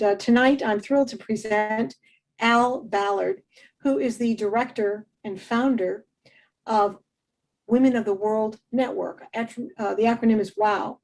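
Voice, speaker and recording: A woman's voice: a little high, poor audio. Effusive woman hosting a radio interview. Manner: warm, effusive, with many fillers and restarts. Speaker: host.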